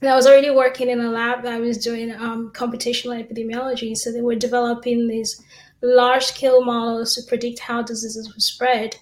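A woman's voice: fairly high pitch, fairly quick. Woman guest from Cameroon, echoey room. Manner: explanatory, steady, with fillers. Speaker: assistant professor, guest. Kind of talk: interview answers.

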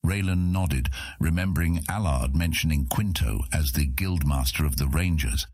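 A man's voice: rumbling low, moderate pace, darkish tone, breathy edge, no echo, clean studio sound. A man narrating a scripted fantasy audiobook as its storyteller.

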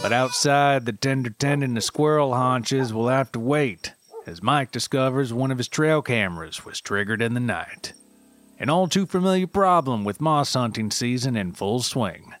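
A man reading scripted mock-documentary narration as a storyteller, measured and deadpan. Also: medium pitch. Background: music with plucked guitar.

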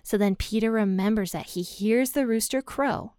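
A woman teaching scripture on her own podcast in a relaxed, talkative way, dropping in light laughs as she goes. Her voice is smooth and clear, recorded close and dry with no room sound. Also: medium pitch, moderate pace, dry recording. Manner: chatty and conversational, with frequent "like" and "and so"; laughs lightly between thoughts. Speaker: podcast host teaching the Bible. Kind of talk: casual Bible study podcast.

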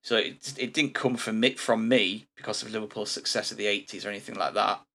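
A man, hosting a casual podcast chat in a relaxed, rambling way with frequent ums. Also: on the low side, a little fast, vocal fry. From England. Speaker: podcast host.

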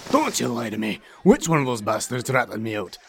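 Gravelly menacing dwarf thug. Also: male voice, mid-range voice, big pitch swings, a little fast, noisy background. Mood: angry, suspicious. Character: menacing cornered dwarf criminal, rough and threatening. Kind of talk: fantasy audio drama acting.

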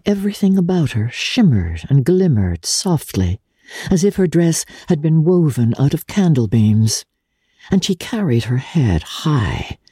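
A woman with a husky, dark-toned voice reads literary narration in a dry, close recording. Her delivery is measured yet theatrical and expressive, with a highly varied melody. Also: no echo. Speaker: audiobook narrator.

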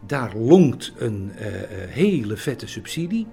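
A man's voice: medium pitch, big pitch swings, takes it slowly, vocal fry, dark tone, soft background music. A man giving a hesitant interview, searching for words and often repeating himself. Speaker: interviewee recounting theatre history.

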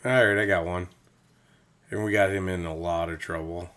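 A man's voice: low-pitched, steady tone, normal speed, a bit bright. A man from Indiana with a slight Southern accent, co-hosting a podcast, telling stories and asking his co-host questions. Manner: upbeat storyteller, asks his co-host questions. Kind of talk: casual chat.

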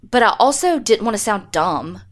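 Woman, podcast co-host, medium pitch, very lively intonation, profane, self-deprecating, casual banter. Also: normal speed.

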